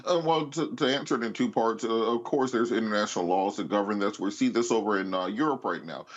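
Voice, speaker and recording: Fast-talking, low-voiced American male panelist. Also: highs cut off. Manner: assertive, opinionated. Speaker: political panelist. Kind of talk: off-the-cuff political commentary.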